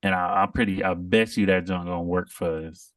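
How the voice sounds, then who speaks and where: A man co-hosting a casual podcast, monotone and laid-back. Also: low voice, fairly quick, dry recording, studio quality, far from the mic. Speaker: podcast co-host.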